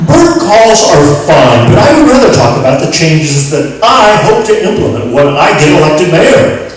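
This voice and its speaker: A man's voice: on the high side, sing-song, moderate pace. A man playing a polite, self-promoting mayoral candidate in old-time radio comedy style. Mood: flustered. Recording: echoey room, distant mic, faint noise.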